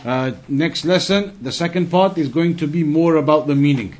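A man's voice: on the high side, moderate pace. A man giving a religious lesson as a teacher, moving between reciting quotations and explaining them.

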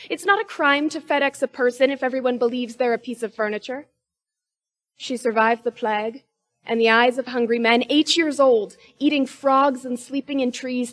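Woman in her 20s, spoken word poet; high, rhythmic, emphatic. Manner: rhythmic, emphatic delivery with sharp rises in pitch and force. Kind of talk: spoken word poetry performance.

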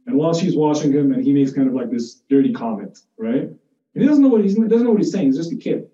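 A man's voice: on the high side, animated, dark tone. Muffled, echoey man lecturing informally. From Jordan. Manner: halting, restarts phrases, conversational, explanatory. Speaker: lecturer in psychiatry. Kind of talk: informal spoken lecture with personal anecdotes. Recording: muffled, echoey room, distant mic.

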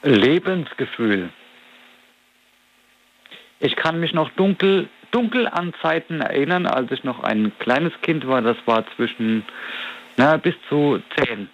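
A man's voice: medium pitch, animated, takes it slowly, muffled recording. A man of about forty talking in a casual interview, reflective and rambling as he searches for his words. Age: 40s. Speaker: interview guest.

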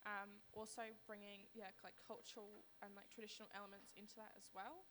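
A woman's voice: mid-range voice, little pitch movement, fairly quick, bright, forceful tone, a little hiss or hum. A young woman from Australia, an artist on a panel, speaking hesitantly with lots of "um" and "like". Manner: hesitant, many fillers like "um" and "like". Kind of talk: panel discussion.

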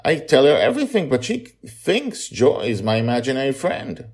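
A man's voice: mid-range voice, huge pitch swings, moderate pace, darkish tone, voice pushed up. A man voicing an earnest young boy who insists he is telling the truth. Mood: insistent. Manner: pleading, insistent.

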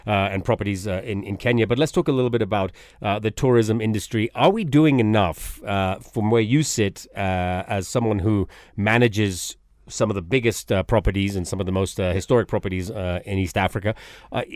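A man's voice: on the low side, a little fast, hardly any pauses, a little mellow, no room sound, studio quality. Hesitant male radio host. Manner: hesitant, many fillers and restarts. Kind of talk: morning show interview.

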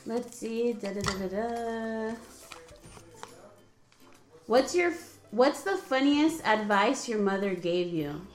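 A woman in her late twenties leads a loose, laughing chat with old friends. Her voice sits fairly high and she talks freely, with plenty of swearing. The room around her is echoey. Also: slow pace, long pauses, soft background music. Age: 20s. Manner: chatty, swearing, laughing often. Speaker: podcast host. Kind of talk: casual chat among friends.

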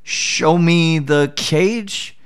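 A man voicing a sly, clever little male jackal who feigns slow puzzlement, stretching his words. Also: on the high side, smooth.

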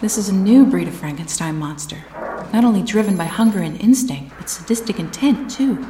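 A woman's voice: mid-range voice, expressive, fairly quick, noisy background, lightly acted. A woman playing a grave, knowing scientist in dramatic monster audio drama dialogue. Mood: grave, ominous. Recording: echoey room under music.

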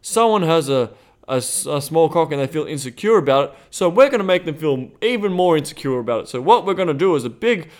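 A man's voice: on the high side, fast. An Australian man co-hosting a podcast in casual chat, blunt and swearing freely. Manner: blunt, profane and animated. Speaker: podcast co-host. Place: Thailand.

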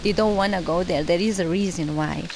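A woman who speaks as a non-native speaker, giving an emotional testimony and searching haltingly for her words. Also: lowish voice. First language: not English. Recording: loud background noise over the voice.

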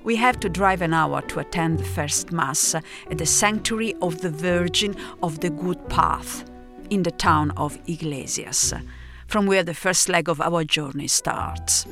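A woman reading a literary first-person travel story, reflective and dramatic, with pauses between phrases. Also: on the low side, music in the background. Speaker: narrator.

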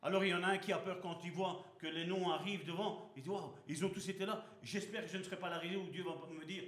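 A man's voice: high-pitched, steady tone, fast, fairly bright tone. A man preaching a sermon as pastor, speaking with passion and throwing rhetorical questions at his congregation. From Belgium. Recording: echoey hall.

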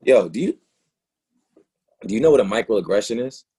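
A man acting a hurt, frustrated young boyfriend in a naturalistic play reading, halting and repeating himself. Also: fairly low pitch, expressive, mellow, slightly rough. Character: hurt, frustrated young boyfriend confronting his girlfriend about racism. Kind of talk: readers theatre play reading, naturalistic dialogue.

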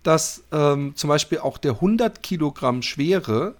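A man co-hosting a podcast, chatting casually and humorously, telling things like an animated storyteller. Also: a little high, unhurried. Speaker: podcast co-host.

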